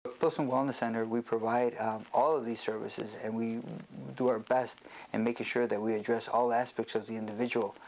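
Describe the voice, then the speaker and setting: A man speaking as a psychiatrist presenting an educational health talk, explaining in a measured way with hesitant pauses. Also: medium pitch, little pitch movement, a little mellow, low-quality recording.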